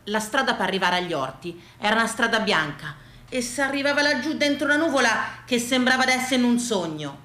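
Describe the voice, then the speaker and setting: Bright, expressive Tuscan woman storyteller. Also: on the high side, brisk, echoey room. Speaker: actress and storyteller. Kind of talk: theatrical autobiographical monologue.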